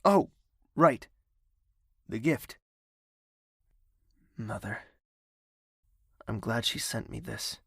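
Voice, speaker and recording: A man playing a brooding, menacing young prince in an audio drama, speaking in a measured, gravelly, husky voice. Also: mid-range voice, fairly bright tone, no room sound, clean studio sound, heavily acted. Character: brooding, menacing young prince, tender toward his mother.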